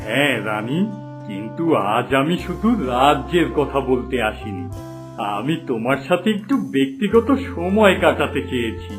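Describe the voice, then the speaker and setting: A man's voice: mid-range voice, lively intonation, moderate pace, over music, natural voice. A man voicing a proud, distrustful king in a fairy-tale cartoon, with a commanding manner. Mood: tender. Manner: commanding, haughty.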